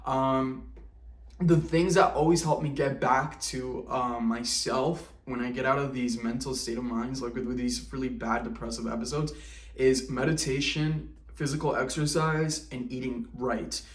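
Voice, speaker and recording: Man, twenties, confessional vlog, halting and candid, away from the mic, echoey. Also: medium pitch, steady tone, distant mic. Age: 20s. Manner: candid, halting, with many restarts and fillers. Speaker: vlogger. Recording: roomy, from the mic.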